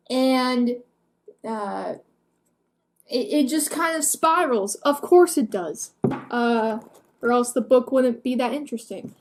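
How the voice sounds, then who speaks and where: An eighteen-year-old woman giving a casual, rambling book review to camera in a candid, self-deprecating, hesitant way. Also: sits high.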